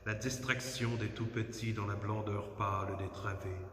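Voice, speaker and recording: A man giving a solemn, measured, declamatory poetry recitation inside a church. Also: fairly low pitch, steady tone, fairly bright tone. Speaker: poetry reader. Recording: very echoey church, long reverb.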